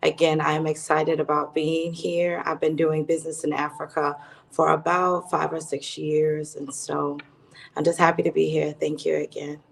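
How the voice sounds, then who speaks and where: A woman's voice: low voice, level tone, normal speed, gravelly. A woman raised in the United States introducing herself on a business panel, listing her roles in an upbeat way. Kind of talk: panel discussion.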